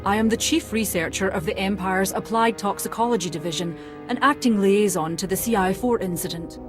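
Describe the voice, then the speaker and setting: A woman's voice: mid-range voice, a little fast, lightly acted. Woman acting a wry military science officer over music. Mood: formal. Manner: formal yet wry and needling. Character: teasing, self-assured female imperial military research officer. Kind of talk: video game cutscene acting. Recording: music behind the voice.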